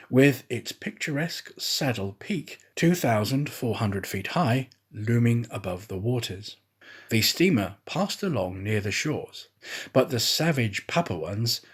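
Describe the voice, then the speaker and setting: Guy narrating an old novel, really animated intonation, bit of husk in his voice, theatrical storytime reading. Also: on the low side, husky. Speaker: storyteller, audiobook reader. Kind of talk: scripted narration of a classic novel.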